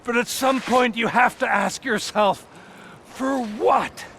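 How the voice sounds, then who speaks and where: An actor playing a scheming, manipulative male villain who sneers and erupts into shouts in a game cutscene. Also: very high voice, slow pace, slight background noise, full character voice. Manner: theatrical, sneering, swings into angry shouts. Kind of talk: video game cutscene acting.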